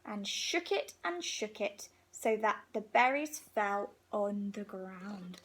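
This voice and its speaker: A woman reading a picture book aloud to young children in an expressive, unhurried way, pausing between phrases. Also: medium pitch.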